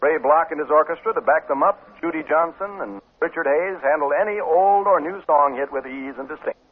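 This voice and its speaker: A man's voice: a little high, fairly quick. A man reading scripted promotional announcements in the upbeat, polished style of a 1950s radio announcer. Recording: muffled, narrow-band 1950s radio recording.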